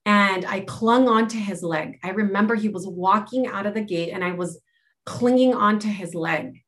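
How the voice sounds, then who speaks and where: A woman's voice: medium pitch, moderate pace, a bit bright. A woman from Los Angeles, a life and health mentor, reflectively telling her own story in a podcast interview. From Los Angeles, United States.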